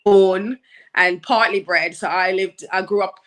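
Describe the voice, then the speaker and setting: A woman in her thirties from Nigeria, a guest in a casual chat, thoughtful and quick to laugh. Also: mid-range voice, fairly even intonation, a bit bright. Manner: laughs often, thoughtful, some ums.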